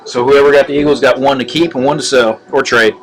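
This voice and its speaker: A middle-aged man hosting a live card-opening stream, talking in a flat monotone with frequent fillers and restarts. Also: medium pitch, low-quality recording. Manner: monotone, halting with many fillers. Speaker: card break host. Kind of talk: casual live-stream commentary. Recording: live stream with music behind. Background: music.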